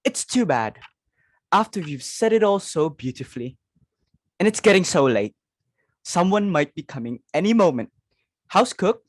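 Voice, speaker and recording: A woman performs a social-climbing mother and hostess in a stage play. She is fussy and imperious, forever exclaiming. Her pitch leaps about in a very dry recording. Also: big pitch swings, moderate pace, pauses often, no echo. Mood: brisk, imperious. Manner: exclamatory, fretful, commanding.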